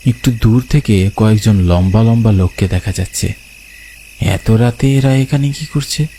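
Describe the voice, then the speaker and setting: A man's voice: on the low side, normal speed, mellow, a little hiss or hum. A man telling a horror story in dramatic first-person narration.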